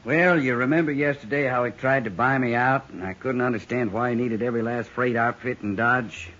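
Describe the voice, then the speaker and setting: An actor playing a plainspoken, honest freight hauler of the Old West with a folksy American Western drawl in a radio drama. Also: male voice, medium pitch, fairly quick, a bit bright, lightly acted. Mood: indignant. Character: plainspoken, indignant, honest frontier freight wagon man. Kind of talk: 1950s radio drama acting. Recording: muffled 1950s radio recording.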